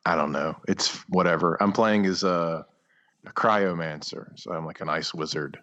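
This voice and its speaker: A man's voice: low voice, moderate pace, a bit bright, muffled recording. A man co-hosting a podcast, chatting casually in a hesitant, offhand, dryly self-deprecating way. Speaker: podcast co-host. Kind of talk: casual chat.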